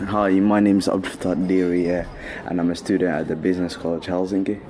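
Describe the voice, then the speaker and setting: A man's voice: low-pitched, flat delivery, fast talker. A young man whose first language is Somali, talking easily as a student in a recorded interview clip. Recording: field clip with background noise.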